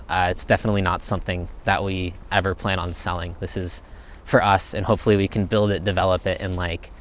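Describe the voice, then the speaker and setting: A young man talks in a loose, meandering way, answering questions off the cuff. His voice sits fairly low and relaxed. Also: slight background noise. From United States. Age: young adult. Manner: laid-back, rambling, thinks aloud. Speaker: vlogger answering viewer questions. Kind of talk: casual Q&A chat.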